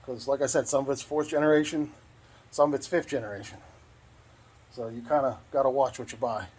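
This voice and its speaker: A man's voice: medium pitch, steady tone, fairly quick, a little mellow. An American man giving a casual, unscripted walkthrough in a folksy, rambling way, often repeating himself. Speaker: vlogger showing his truck radio install. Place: outdoors in and around a parked truck. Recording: clear background noise, handheld outdoor video.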